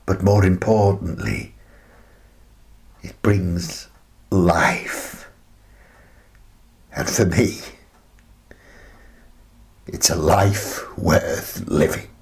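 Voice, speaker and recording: An actor playing a bereaved, tender old widower in a wistful, halting, reflective radio play monologue. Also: male voice, low-pitched, darkish tone, heavily acted. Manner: slow, halting, reflective delivery.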